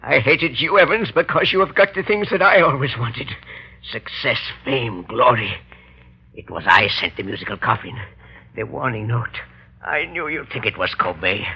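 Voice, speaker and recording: An actor playing a menacing, vengeful escaped convict in an old radio thriller, with a rough, gravelly voice and grim theatrical delivery. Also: male voice, medium pitch, expressive, moderate pace. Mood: bitter hatred. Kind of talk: 1930s-40s radio thriller acting. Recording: dull, lo-fi old radio recording.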